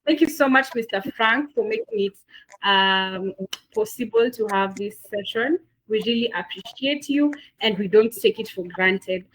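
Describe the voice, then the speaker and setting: A woman's voice: medium pitch, normal speed, a bit bright, poor audio. Upbeat Kenyan woman hosting a video call. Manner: upbeat, enthusiastic, lots of fillers and repeats. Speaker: session moderator. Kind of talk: closing remarks on an online masterclass.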